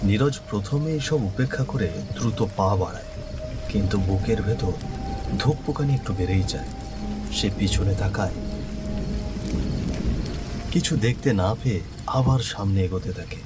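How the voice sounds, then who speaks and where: A man's voice: lowish voice, moderate pace, pauses often, over loud music. A man narrating a scripted horror story in a dramatic, suspenseful manner.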